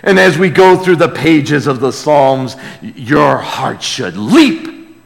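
Slow-paced male preacher in a reverberant hall. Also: fairly high pitch, animated, creaky. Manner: emphatic, with repeated key words and rhetorical questions. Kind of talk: expository sermon.

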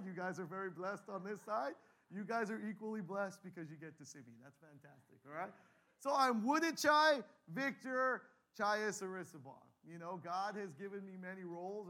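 A man's voice: sits high, sharp tone. A man in his forties, a pastor giving a humorous talk to a live audience, joking and calling for replies. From Canada. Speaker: pastor and guest speaker. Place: large room with a live audience.

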